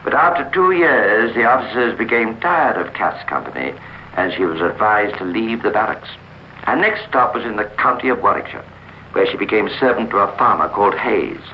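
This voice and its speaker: A man narrating a 1950s radio drama in a measured, formal storytelling manner. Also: fairly low pitch, lively intonation. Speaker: narrator and host. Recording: muffled, hissy old radio recording.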